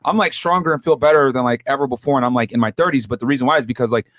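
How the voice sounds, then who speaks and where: A man's voice: medium pitch, rapid-fire, fairly bright tone, dry recording, studio quality. A man in his thirties on a podcast, giving advice straight to the viewers and calling them guys in a casual, lecturing way. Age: 30s. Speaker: podcast co-host.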